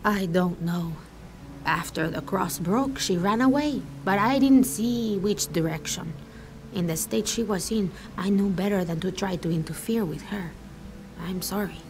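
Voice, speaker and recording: Casting: actress for proud village herbalist in a game, dignified, frank, mid-pitched, faint ambience. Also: female voice, unhurried, background noise, natural voice. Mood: concerned. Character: proud, outspoken village herbalist woman, sharp but caring. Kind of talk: video game character dialogue.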